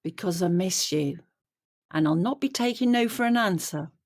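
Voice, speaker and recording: A woman's voice: fairly low pitch, lively intonation, moderate pace, noisy background, close to an everyday voice. An actress playing an older bereaved widow and mother in an audio drama, plaintive and stubborn in manner. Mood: wistful. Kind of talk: naturalistic audio drama acting.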